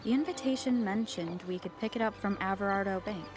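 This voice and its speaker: A woman's voice: mid-range voice, fairly quick, close to an everyday voice. A woman playing a practical, self-assured fantasy traveller in a video game, speaking matter-of-factly. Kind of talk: scripted video game dialogue. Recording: game music and sounds behind voice.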